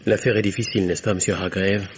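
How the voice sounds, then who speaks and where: A cool, evasive young suspect in a vintage radio mystery. His deep voice stays guarded and dryly polite, over faint recording noise. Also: level tone, fast, highs cut off. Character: suave young engineer suspect, evasive, coolly defensive. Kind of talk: old-time French radio mystery acting.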